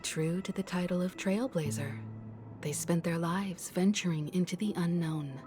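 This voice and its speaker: A woman's voice: fairly low pitch, normal speed, close to an everyday voice. A woman playing a thoughtful, observant traveler in a video game, speaking formally. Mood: reflective. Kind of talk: video game character acting. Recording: game music behind the voice.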